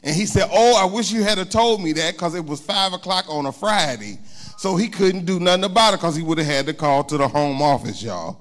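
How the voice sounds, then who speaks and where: A middle-aged man preaches in a church, talking to the congregation. His voice sits a little higher than most men's. He speaks into a handheld microphone and keeps turning to the congregation with asides. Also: animated, brisk, a bit bright. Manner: conversational preaching with call-and-response asides. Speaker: pastor. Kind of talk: sermon. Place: church sanctuary, indoors.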